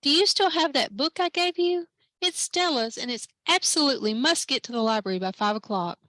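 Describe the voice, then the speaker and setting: A woman's voice: high voice, animated, brisk, pushed and bright, no echo, voice pushed up. A woman reading aloud voices a breezy, cheerful young girl in a picture book. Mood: calling.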